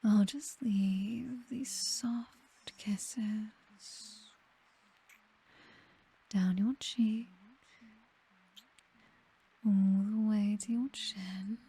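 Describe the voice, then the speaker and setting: A woman acts out a seductive British vampire mistress for an ASMR roleplay. Her voice is a very breathy, dark whisper, unhurried and hypnotic. She is possessive and commanding, yet tender as she coaxes. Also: mid-range voice, full character voice. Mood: tender, soothing. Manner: slow, coaxing, hypnotic, teasingly commanding.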